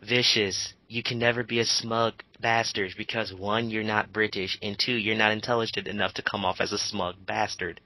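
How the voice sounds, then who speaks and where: A man's voice: fairly low pitch, level tone, moderate pace, few pauses, bright, forceful tone, dry recording, low-quality recording. A young man giving casual, sarcastic commentary on a voice call with friends. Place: voice call, close dry sound.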